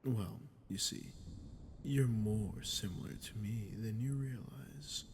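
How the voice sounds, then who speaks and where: A man voicing an ancient sadistic demon in an ASMR roleplay, breathy and often near a whisper, taunting and condescending as he speaks straight to the listener. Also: fairly low pitch, takes it slowly, background noise. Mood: smug, gloating. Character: ancient sadistic male demon, condescending, menacing, seductive manipulator. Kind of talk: scripted ASMR roleplay monologue.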